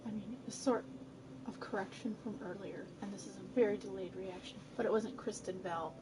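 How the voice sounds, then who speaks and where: A woman makes casual, insistent, teasing small talk alongside a game stream. Her pitch is average for a woman. The recording has plainly audible noise behind her. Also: fairly even intonation, fairly quick. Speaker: companion chatting on a game stream. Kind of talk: casual chat.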